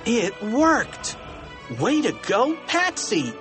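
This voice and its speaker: Man voicing an excitable cheeky schoolboy, pitched up. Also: slow pace, over music, full character voice. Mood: excited. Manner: eager, cheeky. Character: excitable, cheeky young schoolboys plotting mischief.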